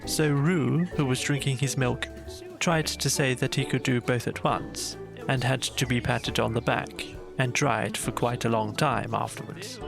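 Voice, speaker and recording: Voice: medium pitch, fairly even intonation, a little slow, vocal fry, music in the background. A storyteller reading a scripted children's bedtime story as an audiobook narrator.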